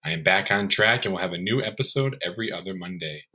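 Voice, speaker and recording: A man's voice: low-pitched, expressive, fast, pushed and bright, faint music behind. A man presenting a podcast in a scripted narration style, as its host.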